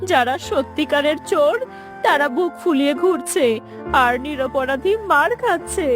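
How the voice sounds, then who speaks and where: A woman acts a devoted, wronged village wife in a cartoon tale. Her voice is high and lamenting, full of grievance, over clear background music. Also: normal speed, close to an everyday voice. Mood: aggrieved. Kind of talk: Bengali fairy tale cartoon dialogue.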